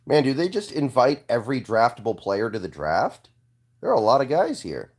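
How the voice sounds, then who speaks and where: A young man hosting a casual live stream, congested and hoarse, answering viewer comments with an offhand manner and frequent throat clearing. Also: mid-range voice, very animated, moderate pace, a little mellow.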